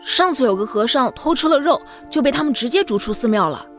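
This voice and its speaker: A woman's voice: high voice, expressive, fast, faint music behind. An actress playing an earnest young female disciple in an audio drama.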